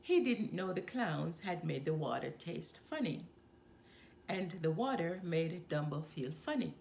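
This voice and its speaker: A woman reading a children's story aloud as a storyteller, with expressive, deliberate delivery. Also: sits low, gravelly, a little hiss or hum. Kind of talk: expressive read-aloud narration.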